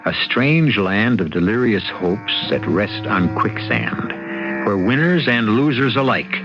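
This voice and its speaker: A man hosting a radio mystery drama, narrating in a theatrical, wry, deliberate old-time style. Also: on the low side. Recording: dull vintage radio recording, music behind.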